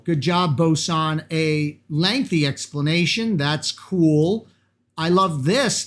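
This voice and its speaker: A man with a Massachusetts accent, chatting enthusiastically while he walks through practice exam software and reads the questions aloud. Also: a little high. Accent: Boston-area Massachusetts. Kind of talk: casual screen-recorded software walkthrough.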